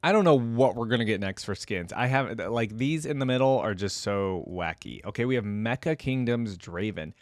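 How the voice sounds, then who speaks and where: A man's voice: mid-range voice, expressive, normal speed, few pauses, no room sound, clean studio sound. A man giving casual, off-the-cuff reaction commentary as a video host, thinking aloud in an informal, wryly bemused way.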